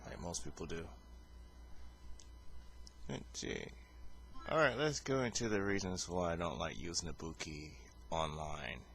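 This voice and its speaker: Male game commentator, very low voice, rambling and halting, casual and unscripted. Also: fairly bright tone, a little hiss or hum. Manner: rambling, hesitant, many fillers and restarts; calls his own voice boring. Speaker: gameplay commentator.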